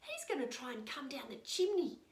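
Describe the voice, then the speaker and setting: Woman doing squeaky, excitable little pigs. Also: fairly quick. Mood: hushed. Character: three little pigs, small, timid but defiant. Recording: echoey room, voice far from the mic.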